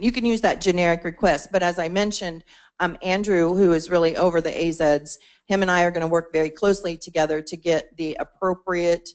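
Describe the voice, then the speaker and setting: A woman presenting to a membership meeting, explaining a support portal conversationally, with fillers and restarts. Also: on the low side. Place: meeting room.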